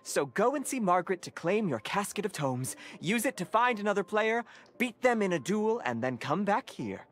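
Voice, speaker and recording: Casting: earnest, wordy tournament official for a game, very animated intonation, genial and formal. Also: male voice, high voice, normal speed. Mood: cheerful, formal. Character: genial, enthusiastic, slightly flustered card-game official. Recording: background music.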